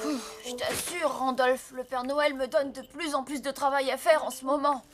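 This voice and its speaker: A woman voicing an earnest, overworked young boy apprentice in a cartoon, with an expressive, plaintive, boyish delivery. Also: high-pitched, normal speed, noisy background, lightly acted. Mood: grumbling, plaintive. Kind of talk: animated cartoon voice acting. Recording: loud music under the voice.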